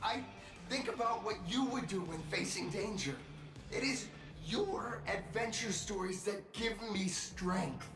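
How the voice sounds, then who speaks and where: High-voiced earnest young superhero. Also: male voice, measured pace. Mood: earnest, sincere. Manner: earnest, declamatory. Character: earnest, heroic young male transforming superhero. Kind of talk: theatrical game hero acting. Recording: echoing voice under loud music. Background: loud game music.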